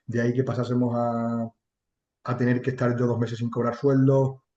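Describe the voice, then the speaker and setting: A man's voice: medium pitch, monotone, moderate pace, mellow, roomy sound, lo-fi sound. A man from Extremadura with an accent from Spain, chatting candidly and colloquially as an interview guest, with some mild swearing. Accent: Spanish from Spain. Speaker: interview guest, chatbot marketing entrepreneur. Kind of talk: casual interview chat.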